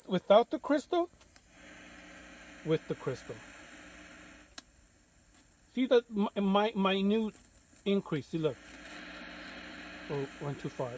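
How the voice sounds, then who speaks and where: A man's voice: a little high, darkish tone, rough recording. A man giving an informal hands-on tutorial as a hobbyist, often repeating phrases as he explains. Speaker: radio repair hobbyist demonstrating.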